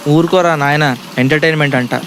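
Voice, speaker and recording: A man's voice: fairly high pitch, fairly even intonation, fairly quick, fairly bright tone, slight background noise. A man playing an anxious, lovestruck young college student in a comedy audio drama, bantering in exasperated slang. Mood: exasperated, defensive. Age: young adult.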